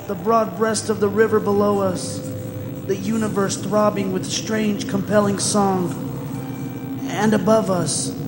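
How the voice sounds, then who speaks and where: A man playing a haunted, condemned man in a theatrical radio drama, declaiming with lyrical, impassioned delivery. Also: high-pitched. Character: condemned man, haunted living soul among the dead. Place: performing arts center stage. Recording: live hall, long reverb, clear noise.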